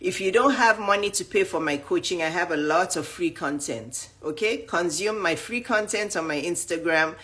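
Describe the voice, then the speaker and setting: A woman's voice: on the low side, moderate pace, few pauses, a bit bright. Blunt, emphatic woman coach. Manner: blunt, straightforward, emphatic lists. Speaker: dating and life coach. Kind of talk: direct-to-camera coaching talk.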